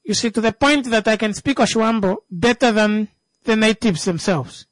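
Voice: medium pitch, normal speed. This is a speaker with a Namibian accent and a native local language, in an unscripted discussion. The delivery is emphatic, with phrases repeated for stress, and the sound is dry with no room echo.

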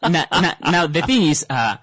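Husky, halting man. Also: fairly high pitch, sing-song, pushed and bright, dry recording. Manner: hesitant storyteller, full of fillers and restarts. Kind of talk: casual studio discussion. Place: broadcast studio.

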